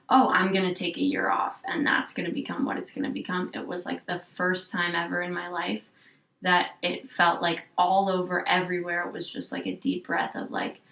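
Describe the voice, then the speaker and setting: A woman's voice: lowish voice, completely flat delivery, normal speed, creaky, fairly bright tone. Twenty-something woman rambling thoughtfully, says like a lot, recorded kinda far off. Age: 20s. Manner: rambling and reflective, heavy on "like". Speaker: guest. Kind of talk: candid interview conversation. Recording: echoey room, a bit off-mic.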